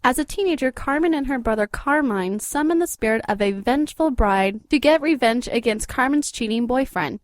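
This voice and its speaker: A female narrator delivering a scripted recap. Her voice sits fairly high and is recorded close and dry, with a measured, expository delivery. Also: animated, no echo.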